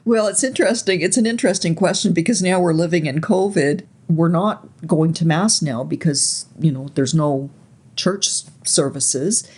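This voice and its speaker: A woman in her sixties, raised in Canada, recalling her life story slowly and reflectively as an interview guest, often pausing to search for words. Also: lowish voice, husky. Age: 60s. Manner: soft, unhurried, searching for words.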